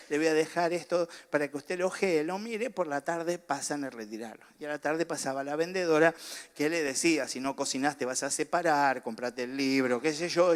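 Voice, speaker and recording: A middle-aged male pastor with a Rioplatense accent and a somewhat high voice. He talks to his congregation in a loose, joking way, then climbs into fervent preaching. A slight cough catches in his throat now and then. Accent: Rioplatense Spanish. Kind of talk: sermon.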